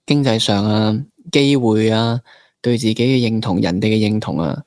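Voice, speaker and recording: A man's voice: lowish voice, moderate pace, a little mellow, dry recording. Hong Kong man interviewing, dry close sound. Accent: Hong Kong Cantonese. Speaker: interviewer, fellow actor. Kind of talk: conversational interview hosting.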